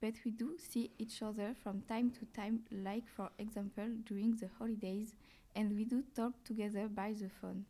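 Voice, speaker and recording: A woman guest on a podcast, answering questions in a careful, slightly halting way. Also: medium pitch, little pitch movement, mellow.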